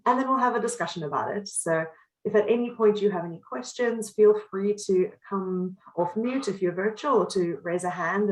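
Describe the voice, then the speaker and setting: A woman's voice: medium pitch, echoey room, low-quality recording. A woman from South Africa with a Cape Town accent, leading an educational workshop in an upbeat, welcoming way. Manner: upbeat, welcoming, frequent ums. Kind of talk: friendly presentation over video call.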